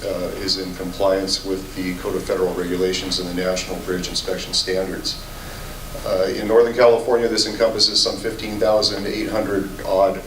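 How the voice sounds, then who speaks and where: A man with a hoarse voice from a cold, giving a measured, technical presentation at a public meeting, with frequent filler sounds. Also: lowish voice. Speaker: state bridge inspection office chief. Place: community meeting hall. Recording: echoey hall, distant mic, faint noise.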